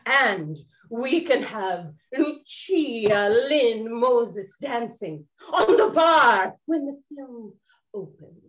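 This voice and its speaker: A woman's voice: on the high side, animated, drawn-out words. A woman playing a flamboyant, theatrical 1920s lady detective with dramatic emphasis in an audio drama. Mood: gushing, grand.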